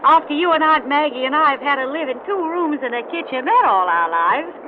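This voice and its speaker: An earnest young heiress speaks in a high voice full of grateful enthusiasm. It is old radio drama acting on a dull recording with clear noise. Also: female voice, lively intonation, brisk. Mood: thrilled.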